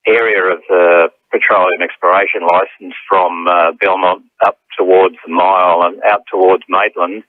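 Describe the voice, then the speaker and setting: A man's voice: low voice, level tone, normal speed, few pauses. An Australian man giving an unscripted interview answer over a narrow, slightly noisy phone line. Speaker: residents' group president being interviewed.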